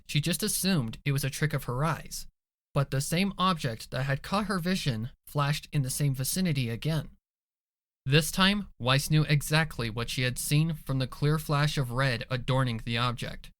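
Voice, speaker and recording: A man's voice: medium pitch, normal speed, no echo, studio quality. A man reading scripted dramatic narration for a fan fiction story. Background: soft music behind the voice.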